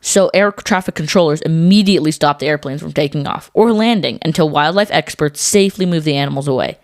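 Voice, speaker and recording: A woman with a low voice co-hosts a scripted educational podcast for children. She explains things in clear, simple terms, and the sound is very dry, with little room in it. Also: a little fast, no room sound. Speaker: podcast co-host.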